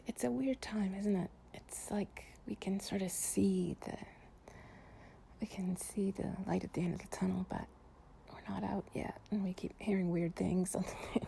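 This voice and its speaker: A woman playing an affectionate, playful girlfriend in an improvised bedtime cuddle audio, speaking softly and breathily, often near a whisper, in a rambling way. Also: lowish voice, vocal fry, slight background noise. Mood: sympathetic, weary. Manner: soft, rambling, affectionate, giggly. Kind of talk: improvised intimate relaxation roleplay audio, ASMR-like.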